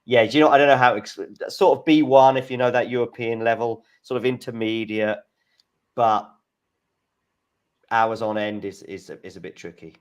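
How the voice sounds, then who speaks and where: A man hosting a casual tennis watchalong chat, talking in halting starts and restarts and reading out scores. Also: medium pitch, fairly even intonation. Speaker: host.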